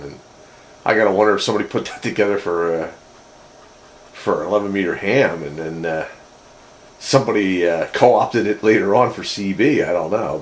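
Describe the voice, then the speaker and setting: A man's voice: sits low, slight background noise, poor audio. A man in casual technical chat, musing aloud in a hesitant way and often rephrasing himself. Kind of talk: casual technical chat about vintage radio gear.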